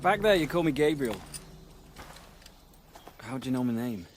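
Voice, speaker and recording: A young man voicing an earnest, anxious young hero in a video game cutscene, shouting when danger comes. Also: medium pitch, lively intonation, normal speed, background noise, close to an everyday voice. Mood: subdued. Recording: loud orchestral game score behind.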